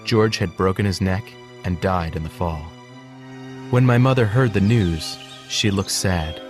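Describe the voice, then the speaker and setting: A man playing a gentle horse who narrates his life story in a measured, earnest storybook style. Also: deep voice, over music. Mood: reflective.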